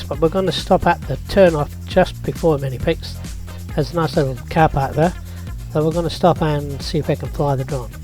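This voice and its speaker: A man, hoarse and croaky from being sick, casually narrating a road trip and drone flight with easygoing fillers. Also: a little high, darkish tone. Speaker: vlog host. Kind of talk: casual travel vlog narration.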